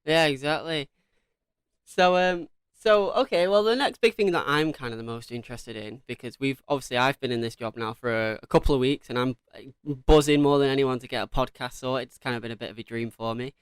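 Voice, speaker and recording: A man's voice: medium pitch, fairly quick, clean tone, dry recording. A man hosting a podcast, asking questions in an informal, eager, chatty way. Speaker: podcast host. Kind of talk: casual chat, interviewing.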